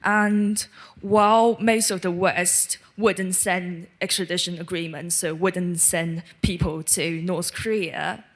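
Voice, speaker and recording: A woman's voice: fairly low pitch, steady tone, takes it slowly. A woman from Hong Kong asking a spontaneous question from the audience, hesitant and often rephrasing herself.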